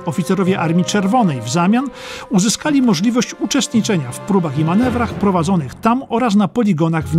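A man giving scripted narration of a historical documentary audiobook. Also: high-pitched. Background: music.

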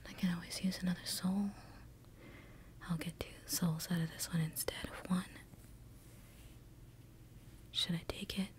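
Woman whispering as a slow, sinister demon girl. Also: on the low side. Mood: gloating, hungry. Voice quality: breathy whisper. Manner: slow, teasing, drawn-out delivery. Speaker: ASMR roleplay performer. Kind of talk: whispered ASMR roleplay.

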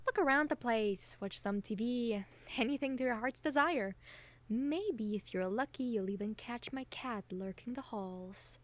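A woman's voice: fairly high pitch, measured pace, close to an everyday voice. A young woman acting as a friendly, caring best friend with a crush, chatting casually and teasingly in an intimate audio roleplay. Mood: relaxed, slightly flustered. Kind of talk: intimate ASMR-style audio roleplay, casual one-sided chat.

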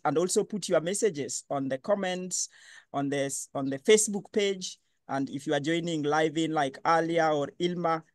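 A man hosting an online panel discussion, lively and questioning. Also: fairly high pitch, no echo, clean studio sound. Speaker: webinar host.

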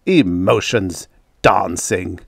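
A man's voice: deep voice, very slow, creaky, breathy edge, dry recording, studio quality, exaggerated voice. A man voicing an impatient, dismissive military general who barks his objections. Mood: scornful. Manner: curt, barking, dismissive. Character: gruff, scornful, impatient military general, male sceptic.

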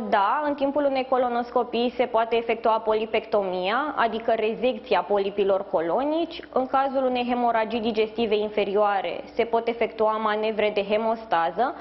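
A woman in her thirties, a doctor giving measured, formal explanations as a guest in a live news interview. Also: on the high side. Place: indoors in a hospital, live video link. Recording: slightly dull sound, faint background noise.

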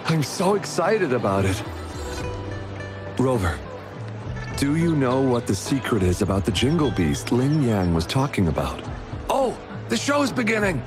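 A man playing a friendly, excitable townsman in video game story dialogue, with an amiable, expressive delivery. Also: medium pitch, measured pace, pauses often. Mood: excited, curious. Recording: game music behind voice. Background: music.

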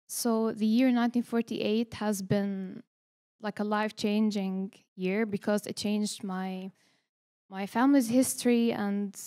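A woman's voice: mid-range voice, dark tone. A young woman from Lebanon in her twenties, telling her family's story at an event in an earnest way and reading from notes, speaking into a handheld microphone in a small hall. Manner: earnest, reading from paper with many ums and pauses. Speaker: guest speaker. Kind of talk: personal testimony read from notes. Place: small event hall before a seated audience.

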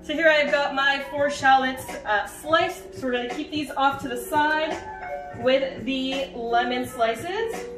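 A woman's voice: sits high, a little slow. A young adult woman giving a casual, informal cooking demonstration as a home cook in her kitchen. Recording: roomy echo, distant mic, background music. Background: music.

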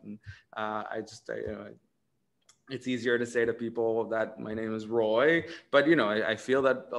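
A man in his thirties with an Israeli accent speaks over a video call from a room at home. His speech is reflective and academic, full of fillers, restarts and an ever-present "right?". The pitch sits in a middle range for a man. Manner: thoughtful, many fillers and restarts, frequent "right?". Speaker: author in conversation.

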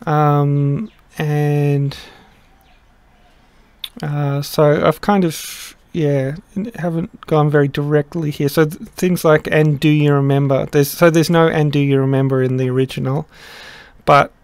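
Middle-aged male teacher, slightly higher pitch, halting and reflective, dry close webcam sound. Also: fairly even intonation, a little mellow, smooth, no room sound. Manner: thoughtful, halting, many restarts and fillers. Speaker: song translator explaining lyrics. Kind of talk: casual explanatory lesson. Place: small room indoors.

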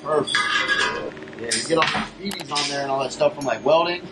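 Man, thirties, American accent, quick pace, chatty vlog host, informal, noisy workshop. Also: a little high. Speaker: shop vlog host, IT worker. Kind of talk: casual, upbeat vlog chat. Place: large metal-roofed workshop. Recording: noisy workshop with clear background noise.

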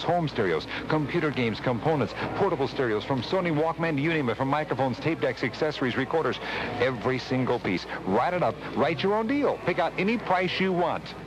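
Punchy American radio pitchman, noisy tape. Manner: punchy, emphatic salesman delivery. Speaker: radio commercial announcer. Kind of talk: 1980s hard-sell radio ad.

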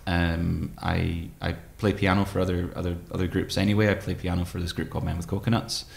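A man in his thirties talking reflectively as an interview guest, hesitant and thoughtful, pausing often. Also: low voice, little pitch movement, gravelly. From Scottish Highlands. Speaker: guest, poet and musician. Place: room with tall windows, indoors. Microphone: microphone on desk stand.

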